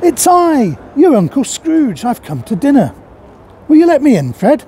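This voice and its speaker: A man's voice: extremely high pitch, huge pitch swings, a little slow, mellow, slight background noise, voice pushed up, full character voice. A man voicing an elderly reformed miser, coaxing and then jovial. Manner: coaxing, then mock-gruff, then beaming. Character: miserly old man turned jolly, eager.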